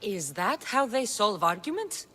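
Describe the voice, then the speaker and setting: Bold, expressive warrior. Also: female voice, medium pitch, a little slow, slight background noise. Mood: determined, questioning. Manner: blunt, determined. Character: bold, defiant young female Spartan mercenary. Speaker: game voice actor. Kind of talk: dramatic video game acting.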